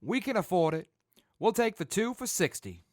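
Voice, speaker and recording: A decisive traveler of the wasteland, acted by a man, with a fairly high, very lively voice. He sounds brisk and businesslike, recorded dry and close for an audio drama. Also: no room sound. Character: decisive male wasteland traveler, the group's buyer. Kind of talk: post-apocalyptic audio drama acting.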